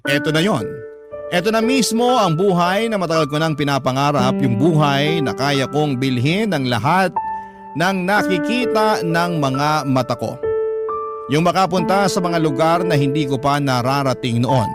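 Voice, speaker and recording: A man's voice: a little high, normal speed, close to an everyday voice. A man playing a letter sender who narrates a dreamed-of life of luxury in a confessional Filipino radio drama. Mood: wistful, satisfied. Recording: loud music over narration.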